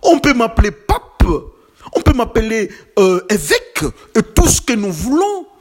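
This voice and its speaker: A man's voice: very high-pitched, sing-song, measured pace. A man preaching a sermon with emphatic, building repetitions and rhetorical questions, slightly congested from a cold.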